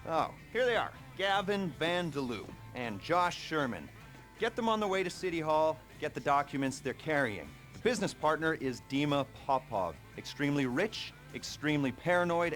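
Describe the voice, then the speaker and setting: A man's voice: a little high, animated, normal speed, fairly bright tone, soft background music. Rambling, nervy male criminal planner. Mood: glib, businesslike. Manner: rambling, hesitant, darkly joking, chuckles to himself. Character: chatty, nervy, self-mocking male criminal heist handler. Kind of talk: video game cutscene acting.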